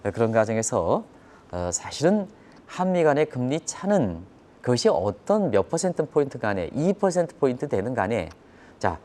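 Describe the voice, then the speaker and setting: A man delivers economic commentary for a live broadcast. His voice is mellow and relaxed in timbre, yet his intonation is very lively. He explains things emphatically. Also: medium pitch, normal speed. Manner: emphatic, explanatory, poses rhetorical questions.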